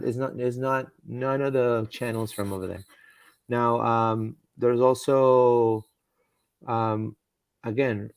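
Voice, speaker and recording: A man hosts a live soccer talk stream in an easygoing, rambling way, restarting sentences and leaning on fillers. His voice sits at an ordinary middle pitch. The sound is dry and close, with no room around it. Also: gravelly, no echo. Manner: rambling, with frequent fillers and repeated words. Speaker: podcast host. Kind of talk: casual live-stream sports chat.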